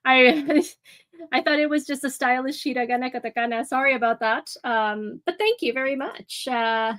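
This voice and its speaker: Woman streamer chatting to chat while she draws, kinda high voice, lots of ums, laughs a lot. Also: moderate pace. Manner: chatty and rambling, many ums and restarts, laughs easily. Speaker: art livestream host. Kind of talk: casual chat with live chat. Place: home room. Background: soft music.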